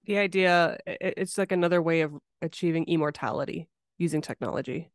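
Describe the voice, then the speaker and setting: A woman with a slightly low voice speaks as a podcast host in an interview. The sound is fairly dry and close, with little room around it. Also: a little fast, no room sound. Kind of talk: podcast interview with some scripted introduction.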